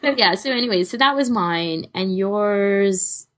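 A woman's voice: medium pitch, lively intonation, a little slow. A woman co-hosting a podcast in casual fan chat, rambling and self-deprecating in an amused way. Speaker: podcast co-host.

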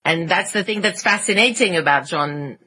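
A woman's voice: lowish voice, moderate pace, sharp tone, breathy edge. A woman on a podcast panel talking casually about fandom in a reflective, analytical way.

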